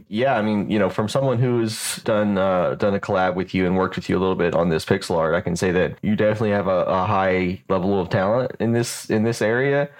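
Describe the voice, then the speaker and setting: A man hosting a weekly podcast, interviewing an artist in a friendly, encouraging way. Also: deep voice. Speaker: podcast host.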